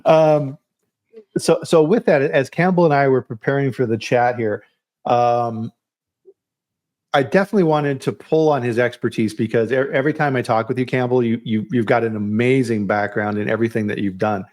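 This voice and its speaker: A man's voice: medium pitch, normal speed, darkish tone. A man hosting a live online chat, talking in a casual, chatty way with frequent restarts and stammers. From United States. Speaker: podcast host.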